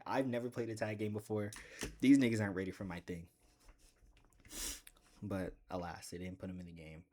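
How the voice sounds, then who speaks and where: A man with a deep voice chatting casually in a gaming vlog, rambling and joking with bursts of excitement. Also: a little hiss or hum. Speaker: gaming video creator.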